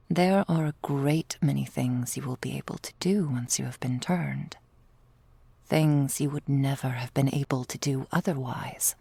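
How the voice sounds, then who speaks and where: A woman playing a loving, patient vampire girlfriend in a whispered ASMR roleplay, with soft breathy delivery and tender, languid, formal phrasing. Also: extremely low pitch, no room sound, heavily acted.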